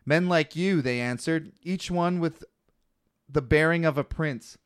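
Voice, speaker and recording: A man's voice: fairly high pitch, a little slow, clean tone. A young man co-hosting a casual podcast, reading Bible passages aloud between joking asides. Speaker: podcast co-host reading scripture.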